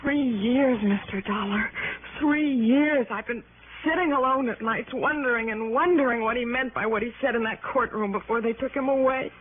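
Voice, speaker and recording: Actress as anxious young wife: high, pleading, halting voice, melodramatic vintage radio drama, tinny broadcast sound. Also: female voice, natural voice. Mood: anguished. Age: young adult. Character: frightened, earnest young wife of a convict. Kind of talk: 1960s radio drama acting. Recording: tinny 1960s radio broadcast, faint noise.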